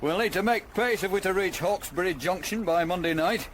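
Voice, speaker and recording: An actor playing a gruff, plain-spoken Victorian canal boatman in a period radio drama. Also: sits high, fairly quick, slight background noise, close to an everyday voice. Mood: blunt, businesslike.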